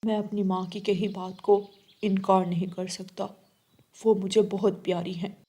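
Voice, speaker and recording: A woman's voice: medium pitch, level tone, measured pace, dark tone, pitched below the performer's own voice, natural voice. A woman voicing an obedient male bird, a submissive mother's boy, in a cartoon story. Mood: dutiful. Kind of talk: Hindi cartoon story acting.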